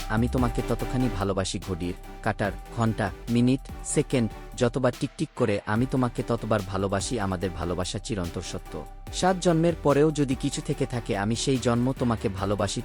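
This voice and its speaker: A man plays an earnest young cartoon village boy who narrates his own story and speaks of love in a lively, swooping voice. Soft music runs faintly underneath. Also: medium pitch, close to an everyday voice. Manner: lively, romantic declarations. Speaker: narrator and lead character. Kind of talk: Bangla cartoon voice acting.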